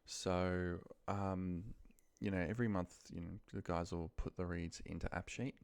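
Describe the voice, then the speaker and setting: A man's voice: deep voice, fairly even intonation, normal speed, creaky, no room sound. A man giving an informal, unscripted screen-share tutorial, sometimes muttering to himself as he works. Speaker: instructor demonstrating a spreadsheet task.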